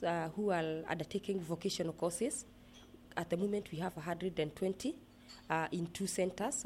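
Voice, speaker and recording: Low-voiced Kenyan woman. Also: a little mellow, slight background noise. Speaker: interviewee, project manager at a rehabilitation center. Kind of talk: interview answers, explanatory.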